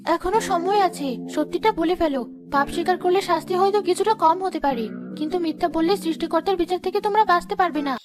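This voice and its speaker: A woman's voice: very high voice, fast, music in the background. A woman playing a village headman's reasonable young daughter in a cartoon, earnest and persuasive. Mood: admonishing. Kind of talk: cartoon voice acting.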